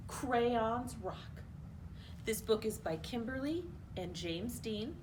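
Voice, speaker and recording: A woman hosting a children's storytime, reading a picture book aloud in an expressive, playful way. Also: on the high side, noisy background. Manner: animated, expressive, playful asides. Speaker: children's storytime host at a library.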